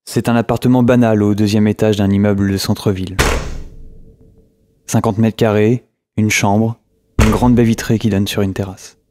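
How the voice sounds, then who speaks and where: A man acting a weary, isolated survivor who records a halting, brooding audio diary for a radio drama. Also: fairly low pitch, little pitch movement, background noise, lightly acted. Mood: weary, uneasy. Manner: halting, brooding, with pauses and hesitations. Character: weary, isolated male survivor holed up in a flat. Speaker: narrator and lead actor.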